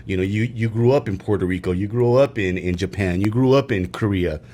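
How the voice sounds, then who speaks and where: A man with a lowish voice talks through a casual sports debate. He rambles, stumbles through restarts and fillers, then builds into emphatic, animated bursts. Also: fairly quick. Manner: animated, emphatic when excited. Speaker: podcast co-host.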